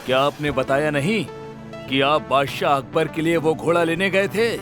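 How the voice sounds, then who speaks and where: A man voicing a regal, impatient middle-aged emperor in an animated story, with a commanding manner. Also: on the high side, lightly acted. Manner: commanding, quick to demand answers. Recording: background music under voice.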